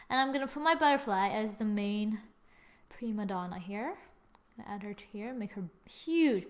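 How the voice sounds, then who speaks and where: A young woman hosting a screen-recorded software tutorial, chatting through each step as she works at her computer. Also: sits high. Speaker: tutorial host.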